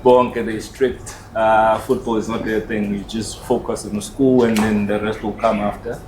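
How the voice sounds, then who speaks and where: Man, South African accent, flat medium-pitched delivery, casual guest storytelling, some background noise. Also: measured pace. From rural KwaZulu-Natal, South Africa. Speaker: podcast guest. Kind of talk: casual personal storytelling, mixing two languages. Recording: echoey room with noise.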